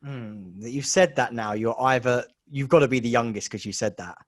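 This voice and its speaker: A man's voice: mid-range voice, normal speed, dry recording, clean studio sound. A man with an English accent hosting a podcast interview, chatty and joking, with plenty of fillers and restarts. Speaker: podcast host. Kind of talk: casual interview chat with a scripted intro.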